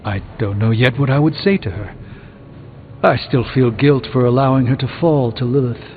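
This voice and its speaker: An actor playing a thoughtful, weary sorcerer mentor in a video game, speaking in a measured, eloquent way. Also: male voice, mid-range voice, lightly acted. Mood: troubled, resigned. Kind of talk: video game character dialogue. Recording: muffled phone-like band, long reverb, music behind.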